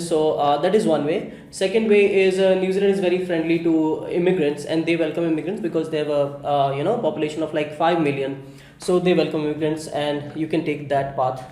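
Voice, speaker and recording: A man from India, a software engineer, talking casually as an interview guest, often pausing with "uh" as he recalls details. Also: on the high side, echoey room. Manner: relaxed, many "uh" pauses while recalling.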